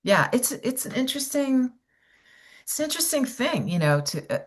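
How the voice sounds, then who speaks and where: A woman's voice: high voice, very animated, moderate pace, slightly rough. A middle-aged woman, a voiceover coach, giving rambling casual advice on a video call, full of restarts and "you know".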